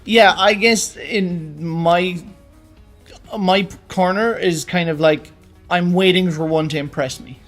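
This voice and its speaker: A man's voice: sits high, takes it slowly, a bit bright, faint music behind. A man co-hosting a film discussion show, chatting casually with his fellow host. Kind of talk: casual banter about movies.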